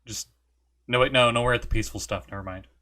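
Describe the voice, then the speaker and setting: A man's voice: medium pitch, fairly even intonation, fast talker, sharp tone. A man joking and rambling during an online tabletop roleplay session. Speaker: player.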